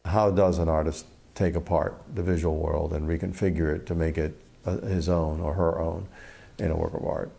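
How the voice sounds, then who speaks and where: An older man, a poet from Cuba raised in Miami, speaks in a very deep voice with a gravelly fry. His phrasing is thoughtful and deliberate, reflective in tone. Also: level tone, dark tone. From Cuba, came to the US as a child and grew up in Miami. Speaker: poet and art critic. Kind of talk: reflective interview talk and poetry reading.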